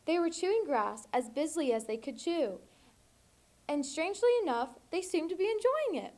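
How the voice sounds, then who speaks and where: A woman's voice: high voice, sing-song, moderate pace, darkish tone. A teenage young woman reading a children's storybook aloud. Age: teens. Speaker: volunteer storybook reader.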